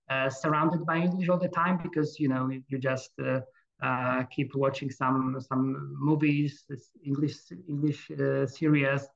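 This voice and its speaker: Polish-accented man, middle-aged, gravelly, flat delivery, dull lo-fi sound. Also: fairly high pitch. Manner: hesitant, many fillers and restarts. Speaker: guest. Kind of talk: casual interview.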